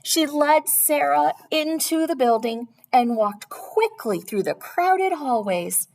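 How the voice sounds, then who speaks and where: A woman's voice: sits high, animated, slow pace, gravelly, darkish tone. A woman reading a children's storybook aloud as a teacher, expressively and addressing young listeners directly.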